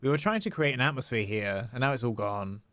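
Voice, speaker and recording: A man livestreaming a game, excitable, casually commenting and reading dialogue aloud, with exclamations. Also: medium pitch, dry recording. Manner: excitable, reacts with gasps and drawn-out exclamations. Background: game music.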